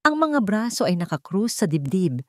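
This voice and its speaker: A woman's voice: medium pitch, sing-song, moderate pace, darkish tone, dry recording, studio quality. A woman with a Filipino accent narrating a scripted romance story.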